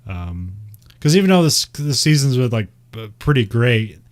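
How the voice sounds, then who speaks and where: A man's voice: mid-range voice, sing-song, moderate pace. Man, Midwest accent, casual rambling chat, frequent fillers, laughs a lot. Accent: Midwest American. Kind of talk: casual chat about racing.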